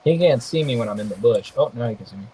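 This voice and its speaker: A man's voice: medium pitch, animated, fast talker, mellow, slight background noise, low-quality recording. A man hosting a gaming podcast, a bit nasal, joking loosely and trash-talking while he comments on his own play. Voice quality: sometimes nasal. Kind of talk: casual gameplay commentary.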